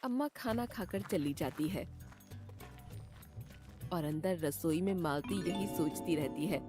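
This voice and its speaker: A woman's voice: fairly low pitch, a little slow. Casting: female narrator for scripted children's cartoon stories, with background music.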